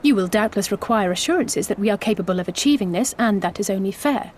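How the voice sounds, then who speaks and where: An actress playing a composed, daring rebel envoy in a video game, speaking in a measured, formal, persuasive way. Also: female voice, mid-range voice, animated, darkish tone, slight background noise, lightly acted. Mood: resolute. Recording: background music.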